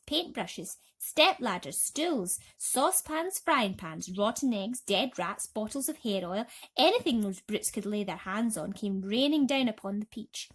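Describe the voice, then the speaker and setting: Female storybook narrator, dramatic and suspenseful, expressive bedtime reading at a natural middle pitch.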